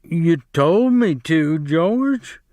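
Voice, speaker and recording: A man voicing a big, simple-minded ranch hand who cries out in high, pleading tones. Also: lively intonation, very slow, darkish tone, dry recording. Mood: miserable.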